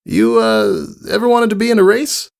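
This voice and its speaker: A man's voice: high voice, steady tone, normal speed, vocal fry, voice pushed up. A man voicing a brash, cocky female pegasus pony mentor in a storybook reading, with a casual, boastful way of talking. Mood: awkward, unsure. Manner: boastful, casual, hesitant small talk.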